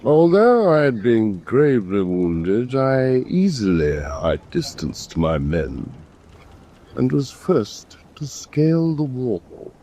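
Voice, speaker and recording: An actor playing a vain, boastful British naval commander in a radio drama, with a pompous way of talking. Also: male voice, medium pitch, sing-song, slow, mellow, slight background noise. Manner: pompous, self-congratulatory.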